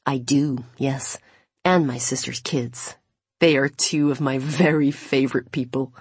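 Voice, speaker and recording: A woman's voice: extremely low pitch, fairly even intonation, a little slow, a little mellow, slightly rough, no echo, voice pushed down, exaggerated voice. A woman voicing a friendly man who is casual, sincere, a little wistful and playful, in an audiobook. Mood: wistful, subdued.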